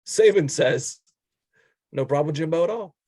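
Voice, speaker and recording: A man with a slightly high-pitched voice co-hosts a sports talk show. He runs through news items in a casual way and gives his takes bluntly, in informal language. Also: lively intonation, a little slow, warm tone, no echo. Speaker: sports podcast co-host.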